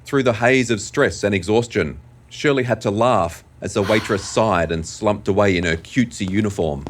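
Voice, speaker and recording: Male story narrator, lowish pitch, measured and deliberate delivery over music. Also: a little hiss or hum. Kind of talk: scripted dramatic story narration.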